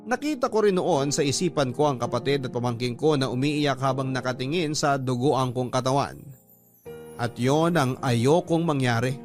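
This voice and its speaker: A man narrating a listener's letter in first person, in a steady, storytelling manner. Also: mid-range voice. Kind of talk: scripted narration. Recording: music bed behind narration.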